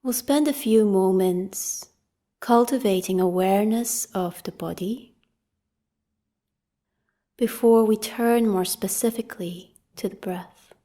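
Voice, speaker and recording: Slow, mellow woman guiding meditation. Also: medium pitch. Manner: calm, gentle, unhurried with long pauses. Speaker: meditation teacher leading a guided practice. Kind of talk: guided meditation, slow spoken instructions.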